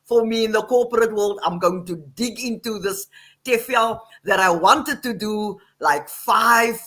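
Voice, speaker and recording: A middle-aged woman from the Western Cape with an Afrikaans South African accent, telling her story in animated casual talk on a video call. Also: mid-range voice, unhurried. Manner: animated, repeats phrases, laughs often. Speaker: guest, online English teacher.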